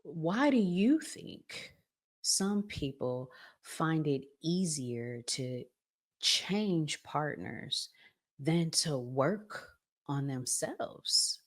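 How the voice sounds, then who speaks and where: A woman hosting a commentary vlog, talking conversationally in deliberate phrases broken into short pieces. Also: low-pitched, sing-song. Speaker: host.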